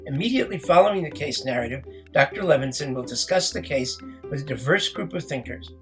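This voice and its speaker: Higher-pitched male podcast host. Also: lively intonation, brisk, faint music behind. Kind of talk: scripted introduction.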